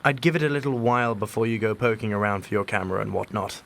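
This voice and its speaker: An actor playing a calm, mysterious agent who guards time, speaking in a measured, formal, gently wry way in an audio drama. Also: male voice, lowish voice, vocal fry. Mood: grave, measured.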